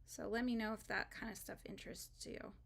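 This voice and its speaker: A woman's voice: mid-range voice, steady tone, brisk, gravelly, fairly bright tone. A woman hosting a live crochet stream, chatting casually and rambling with frequent fillers and laughs as she works. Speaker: crochet livestream host.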